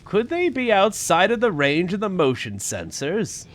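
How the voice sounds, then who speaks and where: A man's voice: high voice, wildly swooping pitch, measured pace, exaggerated voice. A man playing a concerned, questioning scientist in a fan audio drama.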